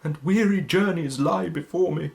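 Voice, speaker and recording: A man voicing a tormented male ghost in chains, with a high, quavering, drawn-out wail. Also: gravelly. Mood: anguished.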